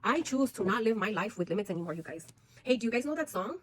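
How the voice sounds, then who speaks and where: A woman's voice: mid-range voice, expressive, fast, no room sound. A woman, talking frankly and bluntly to her viewers in a personal vlog, swearing freely. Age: 40s. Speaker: vlogger.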